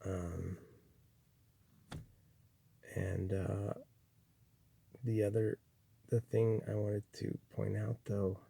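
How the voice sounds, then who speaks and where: A man from Chile giving a slow, rambling spiritual monologue, full of restarts and pauses. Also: level tone, warm tone, no echo. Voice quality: gravelly, husky low voice. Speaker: spiritual speaker.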